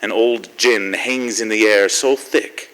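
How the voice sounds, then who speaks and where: A man's voice: on the low side, steady tone, slow, fairly bright tone. A man playing a brooding hardboiled narrator in a noir radio drama, recorded with long reverb. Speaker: opening narrator.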